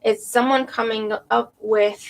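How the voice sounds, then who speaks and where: A woman's voice: a little high, steady tone, unhurried, vocal fry, low-quality recording. A woman giving a live tarot reading to viewers, losing her voice with a cold, thinking aloud and often checking in with "right?". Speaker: tarot reader.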